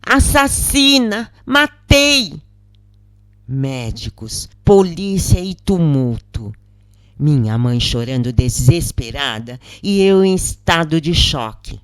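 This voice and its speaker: A woman with a Brazilian accent narrating a first-person story in a measured, expressive, dramatic audiobook style. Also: very deep voice, dry recording. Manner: measured, expressive, acts out quoted lines. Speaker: narrator of a spiritist account.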